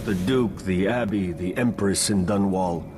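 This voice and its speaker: Deep-voiced swaggering gang boss. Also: male voice, unhurried. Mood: cocky, menacing. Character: swaggering, ambitious male gang boss, tough and shrewd. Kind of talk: video game voice acting. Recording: loud game music behind the voice.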